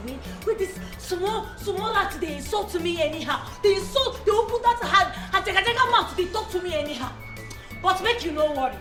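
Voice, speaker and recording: A woman playing a quick-tempered madam, scolding in Nigerian Pidgin with a Nigerian accent and laughing asides. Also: very high-pitched, pushed and bright, exaggerated voice. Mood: threatening. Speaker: employer scolding her house help. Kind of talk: comedy skit acting.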